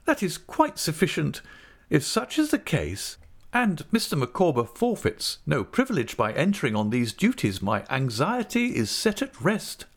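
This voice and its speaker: A man's voice: on the high side, wildly swooping pitch, moderate pace, pitched above the performer's own voice, exaggerated voice. A man voicing a self-important, doting middle-aged wife who makes earnest, businesslike pronouncements in an audiobook. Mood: complacent, earnest. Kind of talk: audiobook character acting.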